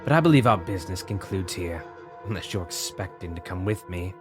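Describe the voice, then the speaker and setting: Man voicing a menacing villain, lowish pitch, condescending, curt, cold, audio drama with background music. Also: lively intonation, a little fast.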